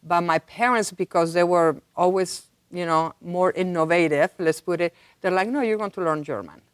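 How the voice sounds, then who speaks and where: A woman's voice: on the low side, moderate pace, smooth, no room sound, clean studio sound. A woman from Madrid, chatting casually as an interview guest. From Madrid, Spain. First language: Spanish. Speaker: interview guest, university director. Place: TV studio.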